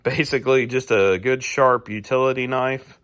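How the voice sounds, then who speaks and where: A man hosting a casual garage how-to vlog while working on a car, his voice a little raspy as he gets over a cold, talking in a laid-back, rambling way with plenty of fillers. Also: medium pitch, fairly even intonation, normal speed, a bit bright. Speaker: garage channel host.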